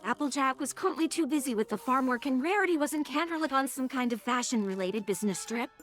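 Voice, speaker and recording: A woman's voice: sits high, expressive, quick pace, faint music behind. A synthetic-sounding woman's voice reading scripted story narration.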